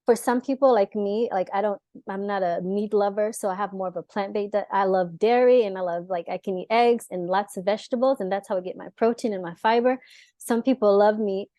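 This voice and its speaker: A young adult woman, born in the US and raised in Israel, chatting as a dietitian guest in a lively way, full of fillers and laughs. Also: medium pitch, brisk, no room sound. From born in the US, grew up in Israel. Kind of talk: casual interview talk.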